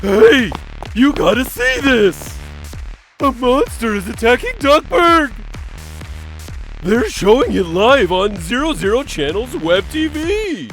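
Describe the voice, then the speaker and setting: Man acting a panicked, very high cartoon bystander. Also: sing-song, takes it slowly, fairly bright tone, soft background music, exaggerated voice, low-quality recording. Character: panicked male bystander raising a monster alarm. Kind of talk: acted comic dub dialogue.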